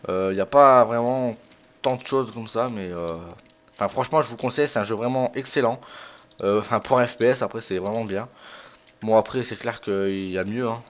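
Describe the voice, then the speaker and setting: A man's voice: on the low side, a little fast. A young man hosting a gaming video, commenting casually in an informal, rambling, self-deprecating way. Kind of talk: casual let's play commentary.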